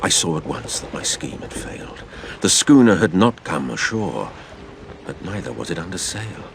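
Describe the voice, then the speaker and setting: Very deep, gravelly male storyteller. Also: sing-song, slight background noise. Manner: theatrical, measured storytelling. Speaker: narrator. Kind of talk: dramatised storybook narration.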